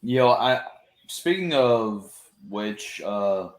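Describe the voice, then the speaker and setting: Low-voiced man in an echoey room. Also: lively intonation. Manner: laughing, halting and profane. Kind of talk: casual chat.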